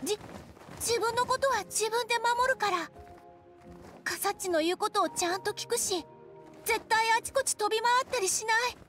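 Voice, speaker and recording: Cute little dragon boy from a game, super high voice, polite n earnest, music behind. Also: normal speed, fairly bright tone. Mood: pleading. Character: small, sweet, eager young male dragon. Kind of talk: Japanese game voice acting.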